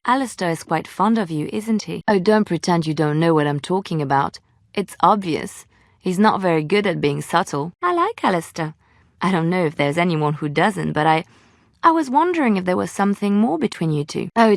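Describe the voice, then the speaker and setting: A woman's voice: on the low side, no room sound, clean studio sound. A woman playing an earnest, tender-hearted young adventurer in a fantasy video game, speaking hesitantly and sincerely. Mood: teasing, probing. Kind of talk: dramatic video game dialogue.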